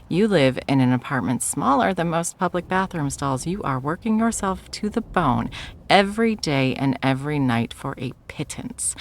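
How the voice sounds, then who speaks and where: Female actor as menacing, possessive executive ex for ASMR roleplay; low-pitched, vocal fry, sardonic and condescending. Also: slight background noise, exaggerated voice. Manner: condescending, sarcastic, drawling sweet talk. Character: wealthy, possessive corporate executive ex-girlfriend, mocking and menacing. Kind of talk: ASMR roleplay, dramatic monologue.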